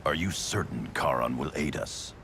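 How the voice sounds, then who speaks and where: A man's voice: rumbling low, animated, moderate pace, vocal fry, slight background noise, exaggerated voice. A man voicing a grim, battle-hardened warrior in a game cutscene, speaking tersely. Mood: wary. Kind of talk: video game cutscene acting. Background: loud game score music.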